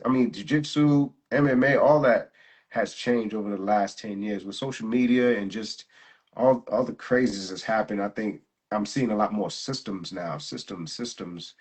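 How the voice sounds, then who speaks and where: A man with a low, slightly husky voice talks easily as the host of a live chat. He is relaxed and slangy, often laughs and repeats his words. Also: normal speed. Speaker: jiu-jitsu instructor and host. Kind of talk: casual live interview chat.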